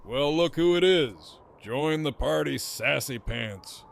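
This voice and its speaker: An actor playing a mocking, foul-mouthed middle-aged antagonist with a sardonic, needling drawl in an audio drama. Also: male voice, a little high, expressive, slow, vocal fry, slight background noise, exaggerated voice. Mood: smug, mocking.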